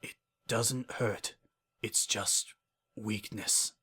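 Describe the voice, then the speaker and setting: A man acts out an old shadow pony king at the end of his life in a storybook drama. The voice is faint and breathy, weary and bitter. Words come slowly and trail away. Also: on the low side, fairly even intonation, pushed and bright, exaggerated voice. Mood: fading, regretful. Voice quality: faint, breathy, near-whispered. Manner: halting, trailing off between words.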